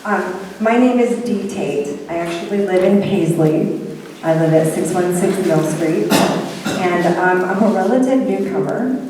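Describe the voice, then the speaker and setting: Soft-voiced woman, slightly low, speaking at a town meeting, distant and roomy with background noise. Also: unhurried. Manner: soft-spoken, friendly, hesitant with ums. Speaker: end-of-life doula speaking at public meeting. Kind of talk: public comment. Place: large fairgrounds meeting hall. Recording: echoey hall, distant mic, noisy.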